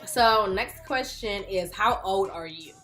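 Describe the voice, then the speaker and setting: A woman's voice: medium pitch, takes it slowly, a bit bright, soft background music. A woman in her early twenties, chatting casually and playfully through a question-and-answer vlog. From Houston, Texas. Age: 20s. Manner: chatty, playful, laughs often. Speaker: YouTuber.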